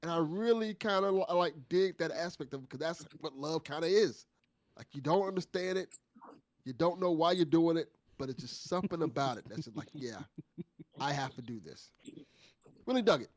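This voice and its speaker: A man's voice: a little high, animated, normal speed. A man co-hosting a casual discussion, talking in a reflective, conversational and appreciative way. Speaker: after-show co-host.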